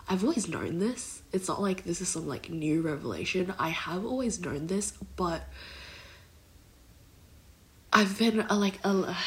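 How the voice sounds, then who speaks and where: A young woman hosting her own podcast in a casual, rambling way, filling her talk with "like" and "kind of", her voice nasal from a blocked nose. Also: on the low side. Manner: rambling, heavy use of "like" and "kind of". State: recovering from illness, congested. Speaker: podcast host. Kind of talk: casual solo podcast chat.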